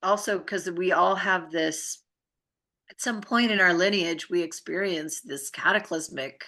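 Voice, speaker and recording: A woman speaks in a low register with plenty of gravelly fry and a bright, forward edge. She chats casually and reflectively, rambling through her thoughts in trailing phrases. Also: unhurried. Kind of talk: casual conversational chat.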